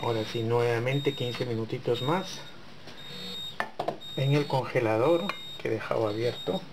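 A man's voice: mid-range voice, measured pace, background noise. A man hosting a home cooking channel, talking viewers through a dessert step by step in his kitchen. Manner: chatty, talks to viewers while working. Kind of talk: casual cooking tutorial.

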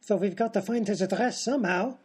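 A practical sidekick in a radio comedy, acted by a woman. The voice is medium in pitch and husky, with a plain-spoken, reasonable delivery. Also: fairly quick, darkish tone, close to an everyday voice. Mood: matter-of-fact.

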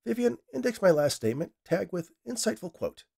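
A man voicing an eager male scientist, speaking in a raised, excited, emphatic way. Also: high-pitched, fairly quick, creaky, pitched above the performer's own voice, full character voice.

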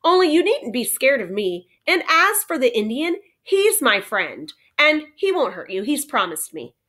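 A woman's voice: high-pitched, big pitch swings, a little slow, fairly bright tone, pitched above the performer's own voice, full character voice. A woman voicing a kind, earnest young schoolboy in a children's storybook, speaking plainly and with emphasis. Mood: reassuring.

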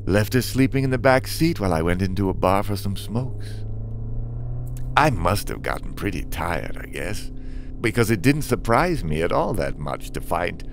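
A man's voice: low voice, sing-song, normal speed, vocal fry, soft background music, full character voice. A man playing a world-weary, fatalistic father and damned driver, narrating a comic drama in a brooding, wry way. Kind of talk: scripted first-person dramatic narration.

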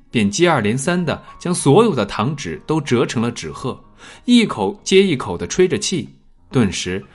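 Husky, animated male audiobook narrator. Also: medium pitch. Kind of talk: scripted dramatic novel narration. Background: background music.

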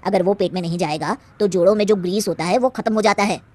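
Cartoon doctor, voiced by a woman: middle-aged, authoritative, measured explanations, subdued dry delivery. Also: mid-range voice, mellow, dry recording, lightly acted. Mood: grave. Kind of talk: Hindi cartoon moral-story acting.

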